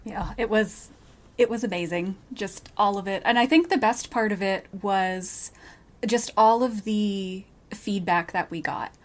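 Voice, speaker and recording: A woman's voice: mid-range voice, a little slow. A woman speaking as a podcast guest, telling things in a casual, conversational way. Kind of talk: casual chat, recounting.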